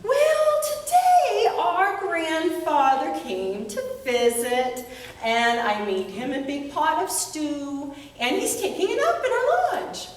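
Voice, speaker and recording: A woman voicing an eager, sweet young girl in a high, gushing sing-song, heard from far off in an echoey church. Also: big pitch swings, slow, full character voice. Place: church sanctuary.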